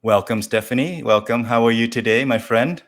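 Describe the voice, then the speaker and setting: A man hosting an interview show, speaking in a halting, measured way as he addresses his guest. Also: medium pitch. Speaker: talk show host.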